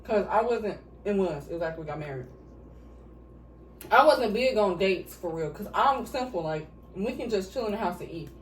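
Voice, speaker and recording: A woman's voice: mid-range voice, animated, normal speed. A woman chatting casually during a mukbang, blunt and swearing freely while she eats. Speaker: vlogger answering couple questions. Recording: echoey room, mic at a distance, faint hiss.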